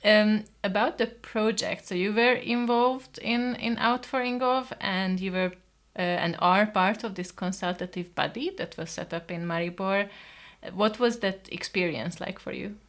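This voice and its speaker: A woman interviewer asks questions in a middle-pitched voice. She hesitates often and restarts her phrases as she looks for the right words. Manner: halting, searching for words. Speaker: podcast interviewer.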